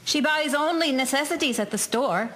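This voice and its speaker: Woman playing an anxious high-pitched farm wife. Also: animated, moderate pace, fairly bright tone, a little hiss or hum. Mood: accusing. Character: anxious, accusing Irish farm wife, superstitious.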